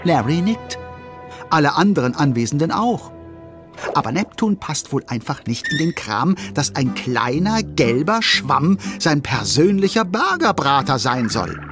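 A man's voice: fairly high pitch, very animated, measured pace, music in the background. A man narrating a children's audio drama as a scripted storyteller.

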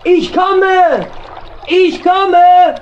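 Squeaky, very slow vagabond rat. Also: highs cut off, slight background noise, exaggerated voice. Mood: questioning, calling. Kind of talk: German children's audio drama acting.

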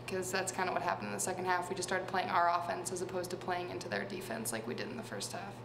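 A woman's voice: on the low side, quick pace, vocal fry, noisy background. Young woman player, casual and chatty. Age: young adult. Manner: casual, lots of "like" and "um". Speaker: college player. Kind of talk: post-game press conference. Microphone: table microphone.

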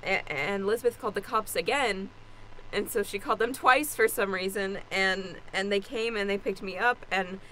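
A woman's voice: mid-range voice, normal speed, sharp tone, a little hiss or hum, lightly acted, poor audio. An actress playing an anxious young woman on the run, stammering and rambling in an improvised radio play. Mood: agitated, rambling. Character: Zoe, frazzled anxious young woman fugitive. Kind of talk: improvised old-time radio play acting.

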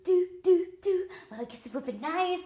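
A woman playing a perky, oblivious teen show-choir girl who chats fast and sings pop. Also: very high voice, lively intonation, dark tone, echoey room. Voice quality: perky, chirpy, singing pop. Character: lone perky teen glee singer, cheerfully oblivious.

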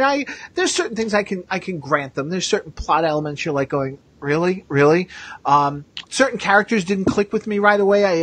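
Male podcast host, husky, chatty and informal, dry close sound. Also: a little high, fairly bright tone, no echo. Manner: chatty, repeats himself. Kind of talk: casual chat, live podcast.

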